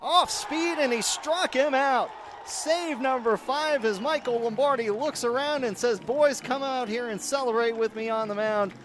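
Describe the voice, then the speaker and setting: A man's voice: very high voice, measured pace, background noise. A man doing live radio play-by-play commentary as a baseball announcer.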